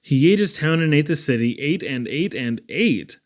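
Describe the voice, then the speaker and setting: A man reading children's poetry aloud in an expressive, theatrical storytelling style with dramatic emphasis. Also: a little high, moderate pace. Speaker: reader.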